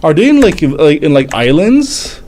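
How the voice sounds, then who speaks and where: A man in his thirties whose first language is French, hosting a casual live manga reading and chat, halting and stumbling as he reads aloud. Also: a little high, big pitch swings, darkish tone. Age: 30s. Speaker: livestream host, manga reviewer.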